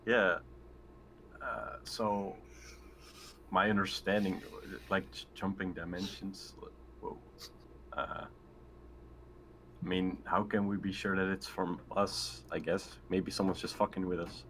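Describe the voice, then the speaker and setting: A man playing a tabletop game, chatting casually in a halting, hesitant way. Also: deep voice, steady tone, fairly bright tone, a little hiss or hum, low-quality recording. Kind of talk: casual chat.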